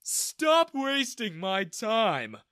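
A man's voice: sits high, huge pitch swings, extremely slow, pushed and bright, smooth, dry recording, clean studio sound, voice pushed up, exaggerated voice. A man voicing an arrogant, mocking bank manager in a dramatized story, with a sneering, contemptuous delivery. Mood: bored contempt.

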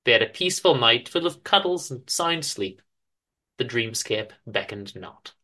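Animated, bright-voiced male storyteller. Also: medium pitch, unhurried. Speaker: narrator. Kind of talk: dramatic scripted narration.

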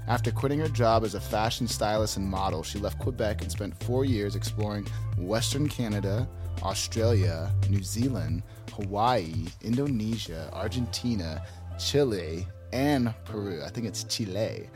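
A male podcast host with a fairly low voice, introducing guests and asking questions in an upbeat, easygoing way. Soft music sits faintly behind him. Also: moderate pace. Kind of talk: podcast interview.

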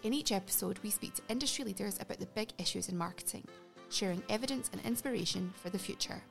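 A woman reading a scripted podcast introduction with a measured, formal delivery. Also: medium pitch, gravelly, faint music behind. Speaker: show announcer.

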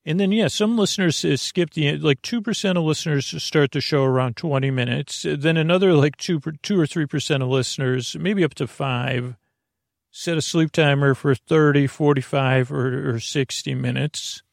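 A man hosting a bedtime sleep podcast, speaking in a gravelly, creaky voice and meandering haltingly through tangents with stammers and trailing thoughts. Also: on the high side, no room sound, studio quality. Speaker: podcast host.

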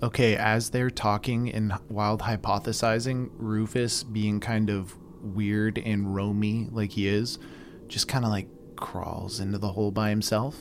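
A man's voice: on the low side, flat delivery, measured pace. A man playing a tabletop game, describing his character's moves in a loose, casual, playful way. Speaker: player. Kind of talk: casual tabletop roleplay chat. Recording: faint background noise.

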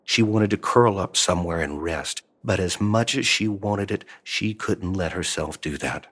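A man narrating a supernatural horror audio drama in a measured, deliberate scripted style. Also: sits low, fairly bright tone, breathy edge. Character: male third-person narrator of a supernatural horror story.

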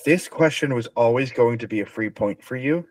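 A man co-hosting a podcast as quizmaster, explaining answers casually in a measured way. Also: mid-range voice, darkish tone, no echo, poor audio.

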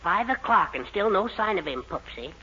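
An actor playing a fussy, prim, easily flustered little husband in a 1940s radio comedy. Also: male voice, sits high, sing-song, moderate pace, sharp tone, breathy edge, full character voice. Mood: fretful. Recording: dull old radio recording.

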